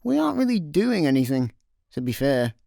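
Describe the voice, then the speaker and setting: A man's voice: a little high, very animated, brisk, vocal fry, mellow, no echo, clean studio sound. A man playing an easygoing, slightly more sensible slacker in a comedy sci-fi radio play, casual and hesitant. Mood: pondering.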